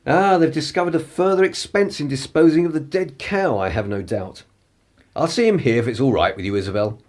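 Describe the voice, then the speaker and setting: An actor playing a pompous, articulate upper-class political radical in a radio play, with a husky voice. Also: male voice, medium pitch, expressive, brisk, a little mellow, natural voice. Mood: breezy, superior. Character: indignant, haughty upper-class male political radical and spy.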